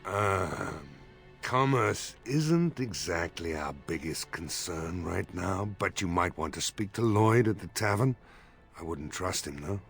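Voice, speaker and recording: An actor voicing a weary, gruff village mayor in a video game, blunt and grumbling, with a gravelly, husky voice. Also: male voice, low-pitched, animated, slow pace, fairly bright tone, soft background music, full character voice. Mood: wry, grumbling.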